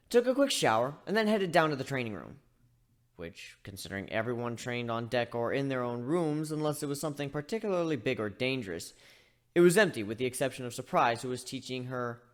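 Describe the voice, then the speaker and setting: A man playing a lively, rambling male griffin. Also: medium pitch, close to an everyday voice. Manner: conversational, rambling, self-interrupting. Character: chatty male griffin, wry, rambling first-person narrator. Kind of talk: dramatic reading of fan fiction, storytelling.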